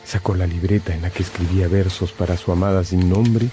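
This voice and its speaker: Low-pitched male dramatic narrator. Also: steady tone, a little fast. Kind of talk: dramatic scripted radio narration. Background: music.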